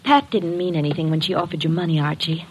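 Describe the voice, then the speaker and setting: A woman's voice: sits low, fast, slight background noise, lightly acted. An actress playing a nosy, coquettish, petulant society woman, breezy and coaxing, in an old radio drama. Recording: dull lo-fi old radio recording.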